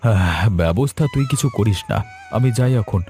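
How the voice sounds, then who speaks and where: A man's voice: on the low side, expressive, normal speed, darkish tone, faint music behind. A man playing a caring, careworn elder brother from a village in a cartoon drama, earnest and coaxing. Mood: tender, coaxing. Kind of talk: Bengali cartoon drama acting.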